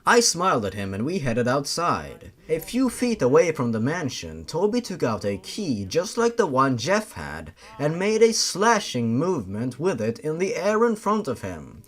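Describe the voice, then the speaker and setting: A man's voice: fairly high pitch, wildly swooping pitch, normal speed, few pauses. A man giving a scripted first-person narration of a fan-fiction style story.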